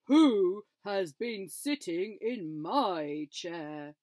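A woman voicing a grumpy father bear who speaks loudly, slowly and with a snarl. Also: mid-range voice, animated, dry recording.

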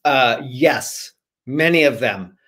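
An American man in his forties talks as a guest author on a video call. He is animated and playful, telling things casually in a loose, rambling way. His pitch sits in the middle range for a man. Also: slow. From Rhode Island, United States. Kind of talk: casual storytelling in an online interview. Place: small home room, indoors. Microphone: computer mic on a video call.

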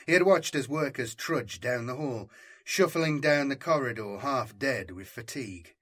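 A man narrating a scripted story as an audiobook, in a measured, solemn and dramatic way. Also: mid-range voice, fairly bright tone.

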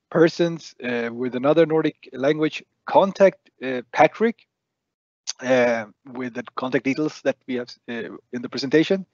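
A man's voice: medium pitch, a little mellow, dry recording. A man in his thirties whose native tongue is Swedish, speaking for a bank on a webinar through a headset, hesitant with fillers. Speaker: bank representative. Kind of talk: webinar answer. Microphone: headset on video call. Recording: dry, dull headset audio.

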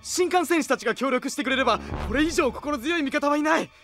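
Earnest high-voiced young comrade, music behind. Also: male voice, quick pace, a bit bright. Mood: alarmed, urgent. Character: earnest, excitable young man, polite comrade. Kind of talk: anime audio drama acting.

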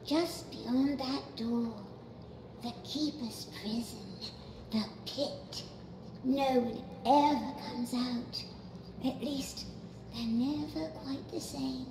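A woman voicing an eerie, playful childlike girl who loves games, in a video game cutscene, speaking in a slow, teasing sing-song. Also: high voice. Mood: ominous. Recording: echoey cavern with long reverb and ambient hum.

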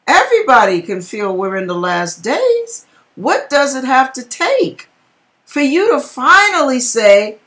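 A woman's voice: fairly high pitch, a bit bright. A middle-aged woman giving a dramatic reading with casual narration, talking emphatically with conversational asides to the viewer. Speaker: video host reading a viewer's dream. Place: home kitchen indoors.

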